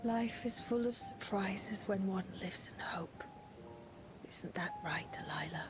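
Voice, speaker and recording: A woman voicing a gentle, affectionate older aunt in a video game cutscene, speaking softly, breathily and tenderly. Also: mid-range voice, music in the background, lightly acted. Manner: soft, breathy, unhurried, tender.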